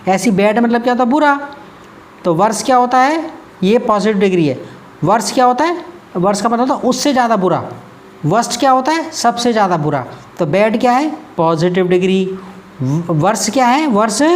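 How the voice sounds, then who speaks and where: A man with an Indian English accent teaching a grammar lesson in Hindi. He talks patiently, repeats the key terms and asks quick questions. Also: high-pitched.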